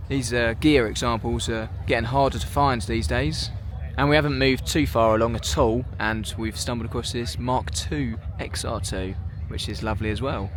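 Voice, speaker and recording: A man hosting a vlog, a bit croaky, chatting upbeat and admiring as he walks around an outdoor car show. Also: medium pitch, background noise. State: slightly croaky voice. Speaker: vlog host. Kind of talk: casual walkaround commentary. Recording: music playing over the voice. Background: background music.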